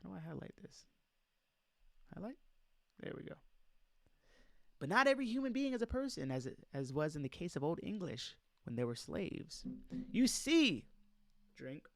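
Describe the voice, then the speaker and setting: A man's voice: on the high side, very animated, moderate pace, gravelly, pauses often, dry recording. A man reading aloud in an informal lecture style, speaking emphatically and putting questions straight to his listeners. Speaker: presenter reading a document aloud and commenting.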